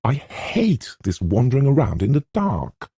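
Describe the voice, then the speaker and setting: A man's voice: medium pitch, wildly swooping pitch, measured pace, warm tone, breathy edge, no room sound, studio quality, voice pushed up, full character voice. A man voicing a spirited, theatrical young woman with an emphatic, wry manner. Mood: uneasy, complaining.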